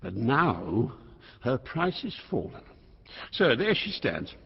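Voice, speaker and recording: A man's voice: mid-range voice, sing-song, slow, gravelly, slightly rough, heavily acted. An actor playing a proud, rash old king who declaims grandly in classical Shakespearean stage style. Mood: coldly regal. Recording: muffled, long hall reverb.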